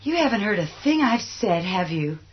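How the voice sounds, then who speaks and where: Woman playing a husky, weary, worried mother. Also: mid-range voice, very animated, moderate pace. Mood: bitter, scolding. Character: worried mother and bitter, scolding wife. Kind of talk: horror radio play acting. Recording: dull, muffled audio.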